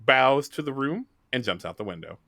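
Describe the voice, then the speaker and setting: A man's voice: mid-range voice, expressive, normal speed, gravelly, sharp tone, no echo, studio quality. A man hosting and narrating a tabletop game in a casual, improvised, conversational way.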